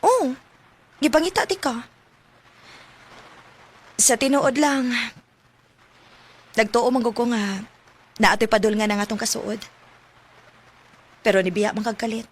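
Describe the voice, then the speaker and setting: Frank young Cebuano woman, higher voice. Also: unhurried, pauses often, slightly rough. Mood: wistful. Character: frank, forward young woman reunited with her old crush. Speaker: radio drama actress. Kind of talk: Filipino radio drama acting.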